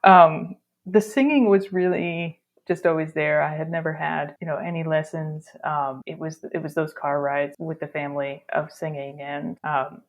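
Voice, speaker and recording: A woman's voice: low voice, steady tone, moderate pace, lo-fi sound. A woman in her thirties with a Rochester accent tinged with Texan, talking casually as a musician guest in an interview and restarting her phrases as she thinks. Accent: Rochester with a Texan lilt. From Rochester area. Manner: thoughtful, restarts phrases, laughs easily.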